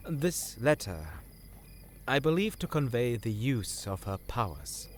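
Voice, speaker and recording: A man's voice: medium pitch, big pitch swings, measured pace, a little hiss or hum. Man acting an earnest young scholar, swinging intonation. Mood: earnest, informative. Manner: formal, hesitant, courteous. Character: earnest, deferential young male scholar, polite nephew. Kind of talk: fantasy audio drama acting.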